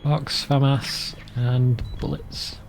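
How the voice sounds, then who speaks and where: A man's voice: medium pitch, flat delivery, slow, gravelly, faint music behind. A man giving casual, offhand gameplay commentary, muttering to himself as he plays. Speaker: let's play commentator.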